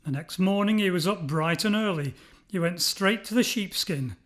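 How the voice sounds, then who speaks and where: A man's voice: high voice, normal speed, fairly bright tone. A man narrating a scripted children's story expressively, as a storyteller.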